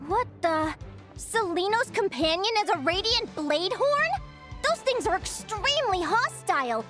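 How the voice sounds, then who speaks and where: A woman playing a spirited, expressive young woman in a fantasy video game. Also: very high voice, measured pace, heavily acted. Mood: surprised, explaining. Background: music.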